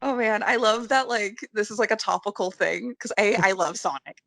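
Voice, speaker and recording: A woman's voice: mid-range voice, moderate pace, vocal fry, a bit bright, no echo. Female podcast guest, mid-range voice, chatty and giggly, casual talk, dry recording. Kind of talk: casual chat about games and films.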